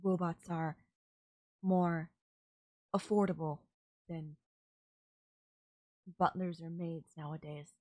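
A woman voicing a polite, puzzled, emotionless female servant robot in an audio roleplay, with formal, halting, literal phrasing. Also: on the low side, fairly even intonation, warm tone, no room sound. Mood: flat, matter-of-fact. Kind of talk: scripted audio roleplay, ASMR.

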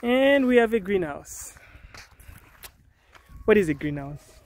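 High-pitched man, inquisitive vlogger. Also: very animated, takes it slowly. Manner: inquisitive, informal. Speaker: vlogger host. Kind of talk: casual walking-tour chat. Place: outdoors on a small farm. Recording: noisy outdoor farm recording.

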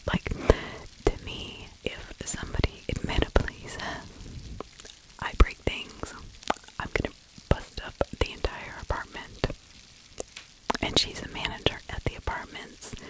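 A middle-aged woman whispering true crime ASMR close to the microphone, breathy, with opinionated conversational asides. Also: unhurried, long pauses, low-quality recording. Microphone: close microphone with furry windscreen.

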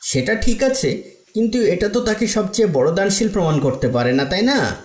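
A man's voice: sits high, big pitch swings, normal speed, a little mellow. A man voicing a needy, earnest traveller in an Islamic moral story cartoon, speaking persuasively. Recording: echoey, reverberant room sound.

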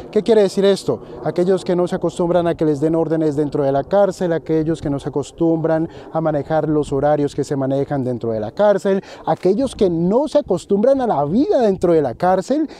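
A Colombian man in his thirties speaks straight to camera outdoors beside a winter lake, the camera held close to his face. His voice sits high and sounds very warm and mellow. He explains his point earnestly and addresses his viewers directly. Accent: Colombian Spanish. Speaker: finance vlogger. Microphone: handheld camera close to face.

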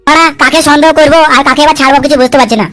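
Casting: woman playing cheeky male sidekick, comedy cartoon. Very high, racing delivery, sly, swearing, argumentative. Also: fairly even intonation, fairly bright tone, soft background music. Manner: chattering, argumentative, coarse, quick with excuses. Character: wily, cheeky male sidekick and schemer, foul-mouthed. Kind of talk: comic cartoon dub dialogue.

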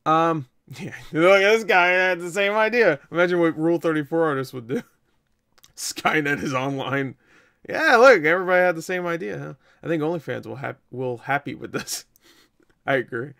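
A man's voice: a little high, lively intonation, moderate pace, vocal fry, a bit bright. A young man giving casual reaction commentary, exclaiming, swearing and tossing in joking asides. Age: young adult. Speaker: reaction video host. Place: small indoor room at home.

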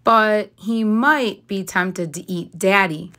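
A woman narrating a children's story as a read-aloud, deliberately stressing and stretching key words. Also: mid-range voice, pushed and bright. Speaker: storybook reader, teacher.

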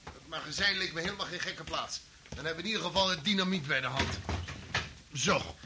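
An actor playing a gruff, hard-nosed criminal who takes charge, brusque and sardonic, in a Dutch radio play. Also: male voice, high-pitched, very noisy. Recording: sharp old radio recording with clicks.

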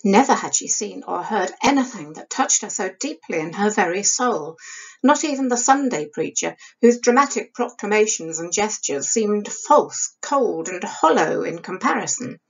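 A woman in her sixties reading historical fiction aloud as the author, in an expressive audiobook style. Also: medium pitch, normal speed, husky. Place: small home study, indoors. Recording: dull lo-fi webcam sound.

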